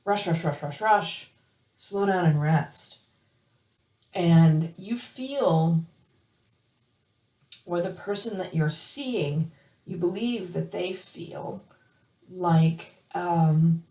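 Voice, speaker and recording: Hoarse lady doing a tarot reading, low voice, echoey room, bit far from the mic. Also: extremely slow, long pauses, warm tone. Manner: hesitant, many fillers and restarts. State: hoarse from allergies. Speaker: tarot reader. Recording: roomy, from off the mic.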